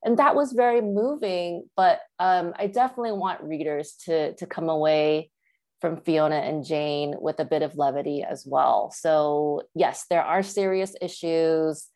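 A woman's voice: low-pitched, measured pace, a little mellow. A woman author from Taiwan, whose first language is Mandarin Chinese, answering interview questions thoughtfully, with fillers and restarts. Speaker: author guest.

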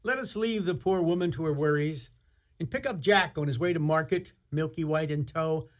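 A man's voice: a little high, normal speed, natural voice. A man playing a genial, theatrical narrator, telling a fairy tale in a radio play. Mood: storytelling. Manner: theatrical, storytelling.

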